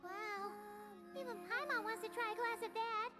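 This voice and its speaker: A woman voicing a small, excitable childlike fairy companion in an anime game, with a squeaky, chirpy delivery. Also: moderate pace, soft background music. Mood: curious, eager. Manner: chirpy, refers to self by name.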